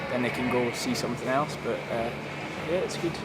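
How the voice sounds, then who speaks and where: Chatty young Scottish-born comedian outdoors. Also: male voice, mid-range voice, a little fast. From Scotland (Aberdeenshire). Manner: chatty, rambling storyteller. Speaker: stand-up comedian promoting show. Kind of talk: casual interview chat. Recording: street noise outdoors.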